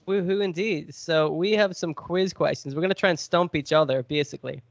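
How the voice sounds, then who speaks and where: A man's voice: fairly high pitch, fairly quick, gravelly, dry recording, muffled recording. A man with a cold, sniffly, chatting and joking as he hosts a podcast quiz. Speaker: podcast co-host. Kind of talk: casual podcast chat.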